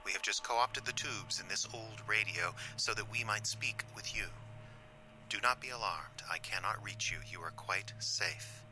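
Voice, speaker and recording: Man playing a coolly menacing low-voiced alien invader. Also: bright, forceful tone, soft background music. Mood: calm menace. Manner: measured, condescending, threatening. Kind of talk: audio drama acting.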